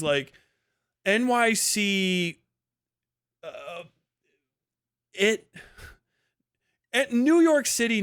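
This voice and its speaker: A man's voice: fairly high pitch, fairly bright tone, clean tone. A man hosting a livestream, giving a casual, opinionated rant in a blunt, scornful, profane manner. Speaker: livestream host and commentator.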